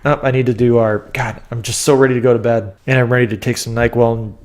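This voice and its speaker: A man's voice: medium pitch, little pitch movement, fast talker, a little mellow. Stuffy-nosed man hosting a podcast. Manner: rambling, restarts sentences. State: stuffed up, nasal from a cold. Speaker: podcast host. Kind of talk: casual podcast chat.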